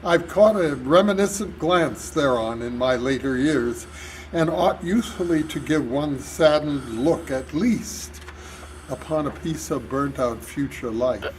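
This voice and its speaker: An older man giving a solemn, deliberate, declamatory reading of poetry at a live club performance. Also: a little high. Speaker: reader at a club reader's theater. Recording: noisy live hall recording.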